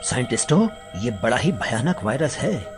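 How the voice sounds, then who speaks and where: A man voicing a principled, alarmed scientist in an animated story, speaking with earnest urgency. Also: medium pitch, moderate pace, over music, close to an everyday voice. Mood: grave warning. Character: principled, alarmed male scientist warning of disaster. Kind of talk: animated Hindi story voice acting.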